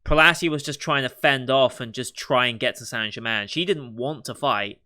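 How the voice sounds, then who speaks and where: Male reaction host, bright clear voice, speculative casual commentary. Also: mid-range voice, moderate pace. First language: not Japanese. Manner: thinking aloud, speculative, with soft laughs.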